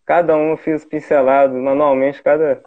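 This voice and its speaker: A man talks through his digital artwork, walking through each small detail with eager, meticulous care. His voice sits a little high for a man. It comes over a thin, phone-like line, as if on a video call. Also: little pitch movement, normal speed. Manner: eager, detail-focused explaining. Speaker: digital artist showing his drawings. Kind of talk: casual chat.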